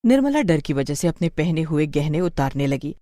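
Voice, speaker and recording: Mellow, expressive woman storyteller. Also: sits low, normal speed, no room sound, clean studio sound. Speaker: narrator. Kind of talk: scripted horror story narration.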